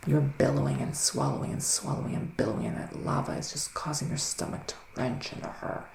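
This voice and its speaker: Psychic male witch, played by a man for ASMR roleplay: soft, breathy, unhurried and musing, gravelly fry, gentle but gloomy, faint noise behind. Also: medium pitch, very animated. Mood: ominous, reassuring. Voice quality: soft, breathy, often slipping into whispers. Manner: hushed, unhurried, musing, with dramatic pauses. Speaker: ASMR performer, tarot reader. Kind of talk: ASMR roleplay tarot reading. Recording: roomy sound with faint background noise.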